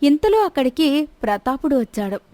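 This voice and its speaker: A woman's voice: high voice, sing-song, normal speed, warm tone, no room sound. A woman reading scripted narration for a dramatized folk story.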